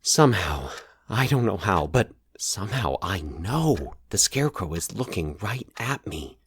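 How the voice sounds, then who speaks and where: A man voicing an anxious, halting man confessing a recurring nightmare in a horror audio story. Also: sits low, very animated, a little hiss or hum. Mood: tense, dread. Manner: nervous, halting, stumbling over his words. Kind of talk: dramatized horror audio fiction.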